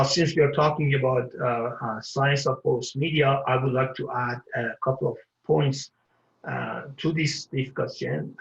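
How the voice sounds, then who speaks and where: An older man from Iran giving an award acceptance speech, halting and full of filler pauses. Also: medium pitch, gravelly, echoey room, lo-fi sound. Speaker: award recipient.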